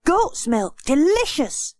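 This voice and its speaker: A woman puts on an eager, earnest small boy for a children's audiobook. The voice is very high and extremely animated, piping up keenly at an unhurried pace. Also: no echo, full character voice. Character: eager, earnest young boy, postman's son. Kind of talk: audiobook character acting.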